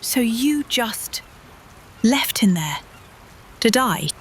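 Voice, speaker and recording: A woman's voice: on the high side, expressive, extremely slow, slight background noise. A woman playing an earnest, principled adventurer in a fan-voiced fantasy game, speaking plainly and directly. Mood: questioning.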